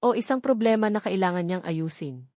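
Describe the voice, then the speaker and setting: A woman's voice: medium pitch, expressive, a little fast, dark tone, no echo, studio quality. A woman narrating a scripted melodramatic story in a suspenseful, emphatic manner.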